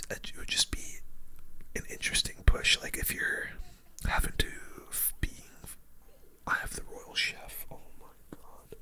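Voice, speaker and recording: Whispering ASMR gamer, bright and breathy. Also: extremely high pitch. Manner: casual, mild swearing and gamer slang. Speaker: gaming video host. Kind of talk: whispered ASMR gameplay commentary.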